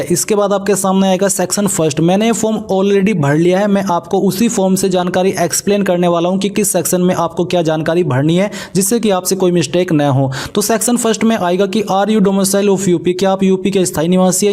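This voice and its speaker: A man's voice: high-pitched, warm tone. A man narrates a step-by-step screen tutorial in an instructional way, mixing in English terms. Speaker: online tutorial presenter.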